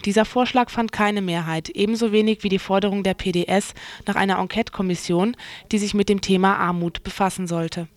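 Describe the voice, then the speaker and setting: A woman's voice: medium pitch, fairly even intonation, fairly quick, no room sound. A woman working as a radio newsreader, speaking into a studio microphone in a booth. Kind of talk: scripted news reading.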